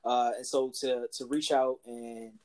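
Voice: medium pitch, fairly even intonation, a little slow, darkish tone. Encouraging podcast host. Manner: affirming and encouraging, frequent agreement. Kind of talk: casual conversation.

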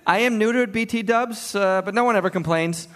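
A man's voice: high-pitched, a little fast, background noise, lightly acted. A man voicing an eager, easygoing male dog looking for romance, in a comic stage reading. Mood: flirty, chirpy.

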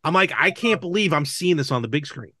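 A man with a slightly high voice chats casually on a podcast. He explains things with animated energy and keeps checking that he is understood. The sound is dry, with very little room. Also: fast, dry recording. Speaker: podcast co-host. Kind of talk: casual chat about movies and games.

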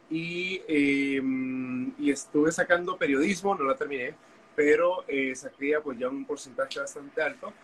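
A man's voice: fairly high pitch, fairly bright tone, slight background noise, poor audio. A man, a guest telling his story candidly in an interview over a video call. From Honduras. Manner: candid, storytelling, frequent fillers. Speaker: guest, activist. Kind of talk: interview conversation.

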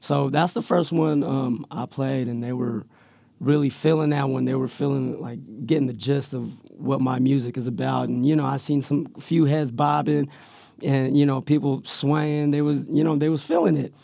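Raspy, gravelly laid-back rapper guy. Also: mid-range voice, fairly even intonation, normal speed, mellow, no room sound. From Central Valley, California. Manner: laid-back, slangy, full of fillers. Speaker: rapper guest. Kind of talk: casual interview chat.

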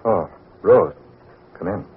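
An actor playing a smooth, calculating crooked lawyer in an old radio drama. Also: male voice, sits low, slow. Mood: cold, conspiring. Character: scheming, smooth, calculating male lawyer swindling his client. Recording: dull, noisy vintage radio recording.

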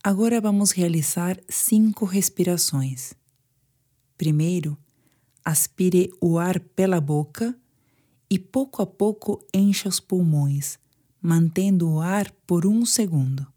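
A woman with a Brazilian Portuguese accent guiding a sleep meditation in a soothing, hushed manner with pauses. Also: on the low side. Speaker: meditation guide. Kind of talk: guided sleep meditation narration.

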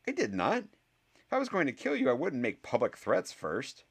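A man voicing a clever, quick-tongued eleven-year-old boy wizard who sounds exasperated. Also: mid-range voice, huge pitch swings, breathy edge, voice pushed up, heavily acted. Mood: indignant.

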